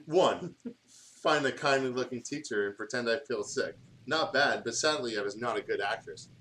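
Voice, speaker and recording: A man's voice: mid-range voice, normal speed, fairly bright tone, low-quality recording. A man reading a story aloud in a jokey, casual way, as a host who chats informally as he goes. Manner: informal, sweary, breaks off to riff. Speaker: gaming channel host reading fan fiction aloud. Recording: music playing behind the voice. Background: music.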